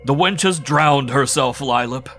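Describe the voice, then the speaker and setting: A man's voice: medium pitch, measured pace, a bit bright, soft background music, pitched above the performer's own voice, close to an everyday voice. A man voicing a brutal, swaggering drunken sailor, bellowing mockingly in archaic oaths for a horror audiobook.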